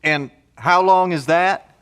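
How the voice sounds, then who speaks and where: A man's voice: on the high side, slow, a bit bright, clear, resonant tone. A man playing a blunt, folksy, skeptical post-apocalyptic survivor in an audio drama. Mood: incredulous. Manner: folksy, colloquial, drawling phrases.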